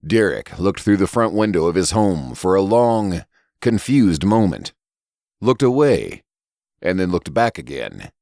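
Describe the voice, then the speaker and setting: A man reading scripted fiction as a narrator, in a deliberate, expressive storytelling manner. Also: vocal fry, no room sound, studio quality. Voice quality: low-pitched voice. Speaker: story reader and podcast narrator.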